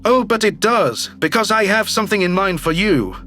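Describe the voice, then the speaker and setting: A man's voice: sits high, expressive, normal speed, pushed and bright, faint music behind, voice pushed down. A man voicing a smug, calculating alien governor, slick and self-satisfied, in a theatrical audio drama style. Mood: smug, commanding. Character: smug, calculating male alien politician, newly elected governor. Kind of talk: scripted sci-fi audio drama dialogue.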